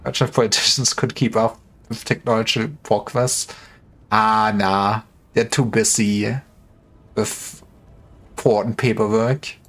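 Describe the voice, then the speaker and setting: A man's voice: lowish voice, fairly even intonation, measured pace, fairly bright tone. A man livestreaming games, chatting casually in a joking, rambling way with some stammering. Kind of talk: casual gaming livestream chat.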